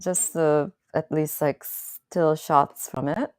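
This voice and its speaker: A woman hosting her own channel, chatting casually to viewers in a slow, thoughtful way with many fillers and restarts. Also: deep voice, fairly even intonation, a little mellow, no room sound, clean studio sound. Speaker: channel host. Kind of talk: casual live-stream talk to viewers.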